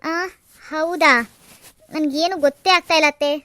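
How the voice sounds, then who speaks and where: A woman's voice: sits high, steady tone, normal speed, bright, forceful tone, dry recording. A woman voicing a meek, confused young daughter-in-law in an audio drama. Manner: dazed, pleading.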